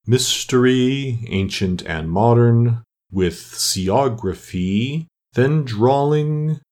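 A man voicing a melancholy old Mock Turtle from a storybook, solemn and self-pitying, with slow, drawn-out speech. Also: medium pitch, a little mellow. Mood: sighing, solemn. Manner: slow, drawn-out, mournful delivery with heavy pauses.

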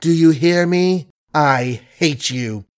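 A man's voice: medium pitch, expressive, normal speed, lightly acted. A man voicing a scornful, tearful young woman who berates her suitor in emphatic, repetitive rants, in a storybook reading. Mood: furious. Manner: emphatic, repetitive, ranting and mocking.

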